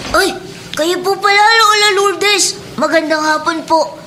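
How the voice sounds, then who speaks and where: High-pitched, polite Filipino child. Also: female voice, measured pace, pushed and bright, slight background noise, full character voice. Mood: busy, courteous. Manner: polite, deferential. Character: earnest, polite adopted schoolchild, reformed young street snatcher named Mark. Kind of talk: radio drama acting.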